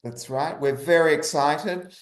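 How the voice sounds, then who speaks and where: A man speaking as an interview guest, enthusiastic and halting, often repeating phrases as he goes. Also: fairly high pitch. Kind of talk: conversational interview talk. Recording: roomy echo.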